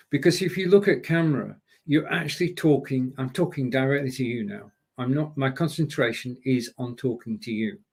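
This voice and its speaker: A man's voice: mid-range voice, a little mellow. A man speaking as a guest expert on a live show from his home broadcasting studio, giving direct, coaching advice to viewers. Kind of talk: instructive live-stream talk.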